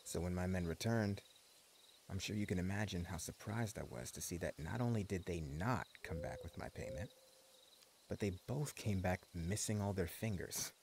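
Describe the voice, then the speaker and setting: A man's voice: low-pitched, wildly swooping pitch, moderate pace, a little mellow, slight background noise, exaggerated voice. A man voicing a menacing post-apocalypse gang leader in an audio roleplay, speaking in a taunting, mock-friendly drawl. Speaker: voice actor.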